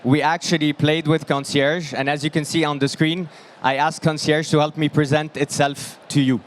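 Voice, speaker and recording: A man's voice: fairly high pitch, level tone, normal speed, slight background noise. Man with a strained, fading voice. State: losing his voice from shouting. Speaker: product presenter. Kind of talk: conference stage talk. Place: large indoor expo hall stage. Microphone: handheld microphone.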